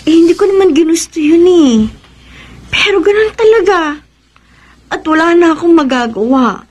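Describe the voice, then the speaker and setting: A Filipino woman playing a hopeful young woman stubbornly in love in a radio drama, expressive and a little defensive. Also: very high-pitched, moderate pace. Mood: rueful.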